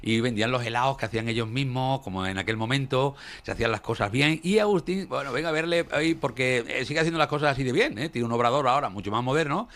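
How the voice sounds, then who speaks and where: A man's voice: medium pitch, fast, few pauses, fairly bright tone. A man interviewing a local craftsman, familiar and reminiscing, full of tag questions. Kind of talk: chatty on-location interview.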